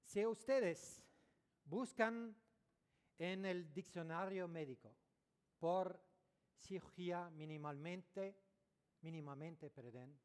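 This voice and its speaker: Man, non-native speaker, conference lecture, stops to correct himself, slightly high voice, echoey hall. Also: clear, resonant tone. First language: French or Italian, not Spanish. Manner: halting non-native Spanish, searching for words and self-correcting. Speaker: dentist giving a conference talk.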